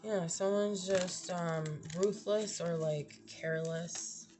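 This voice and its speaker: A young woman with a slightly raspy, lowish voice, musing through a tarot spread in a casual, thinking-aloud way. She trails off and restarts often. A steady hiss of background noise sits under her voice. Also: takes it slowly. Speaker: tarot reader. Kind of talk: casual tarot reading to camera.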